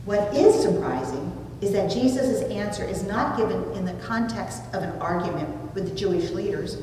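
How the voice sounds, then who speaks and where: A middle-aged woman pastor giving a sermon with a deliberate, emphatic delivery. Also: medium pitch, mellow. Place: small church room, standing at a lectern. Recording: very echoey hall, distant, background noise.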